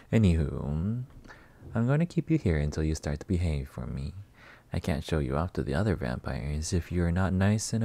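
A man playing a flirty, possessive, delusional vampire master in a roleplay ASMR monologue, teasing and theatrical with drawn-out words. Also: low-pitched, gravelly, dark tone, heavily acted. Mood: possessive, controlling.

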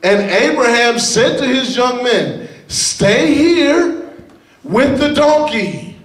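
High-voiced preacher in an echoing hall. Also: male voice, expressive, slow pace, distant mic. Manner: emphatic, bursts into shouted lines. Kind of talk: preaching a sermon, reading scripture. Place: large church hall with a pulpit. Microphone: handheld mic. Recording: echoey hall, heard from afar. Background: congregation responding.

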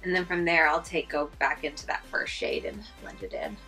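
A woman's voice: deep voice, very animated, normal speed, sharp tone, faint music behind. Congested young woman chatting through makeup. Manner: chatty, rambling with fillers while applying makeup. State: congested, stuffy nose. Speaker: beauty vlogger. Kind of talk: casual makeup tutorial chat. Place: small room at home, indoors.

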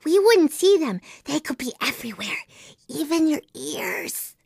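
A woman's voice: high-pitched, sing-song, measured pace, creaky, a little mellow, no echo, clean studio sound, heavily acted. A woman voicing an imaginative, anxious little girl in a video game, with an earnest, dramatic, childlike delivery. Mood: musing. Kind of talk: video game character voice acting.

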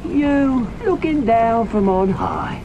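An actor playing a vengeful, taunting British villain in a video game, theatrical and sneering. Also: male voice, extremely high pitch, lively intonation, takes it slowly, highs cut off, heavy background noise, full character voice. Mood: gloating menace. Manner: theatrical, sneering, swinging into outbursts. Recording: loud music under the voice.

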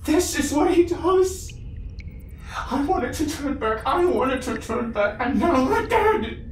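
A man's voice: very high-pitched, lively intonation, takes it slowly, a little hiss or hum, voice pushed up, exaggerated voice. A man voicing a terrified woman who panics frantically about a cave demon. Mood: screaming. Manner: frantic, repeating, screaming.

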